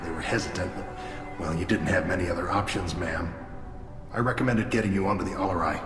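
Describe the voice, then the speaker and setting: A man's voice: low-pitched, fast, natural voice. An actor playing a loyal, plain-spoken male alien soldier in a video game, talking casually and bluntly. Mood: concerned. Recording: echoey hall reverb, music behind.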